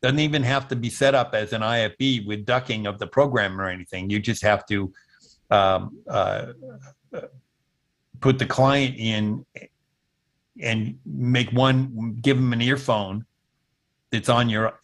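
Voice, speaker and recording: A man in his seventies giving an explanatory technical demo over a video call, often pausing mid-sentence as he goes. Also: fairly low pitch, a bit bright. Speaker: presenter demonstrating intercom software. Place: indoor room at home, on a video call.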